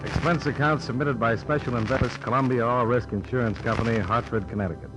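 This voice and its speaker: A man's voice: medium pitch, quick pace, over loud music, lightly acted, poor audio. A man playing a tough, wry American insurance investigator in a 1950s radio detective drama, acting and narrating. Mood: dry, wry.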